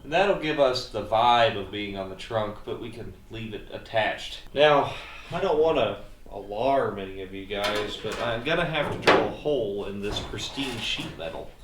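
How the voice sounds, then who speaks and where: A man's voice: fairly low pitch, expressive, normal speed, background noise. A man hosting a casual DIY car repair vlog, talking folksily as he works, with tongue-in-cheek, mock-serious asides. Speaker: host of a car repair video channel. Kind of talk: casual DIY vlog narration while working. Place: garage workshop.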